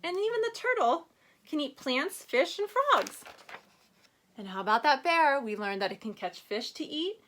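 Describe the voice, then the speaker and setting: Animated high-voiced woman teaching young children. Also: normal speed. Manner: instructional, addresses children with questions and prompts. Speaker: elementary school teacher. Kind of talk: children's read-aloud reading lesson.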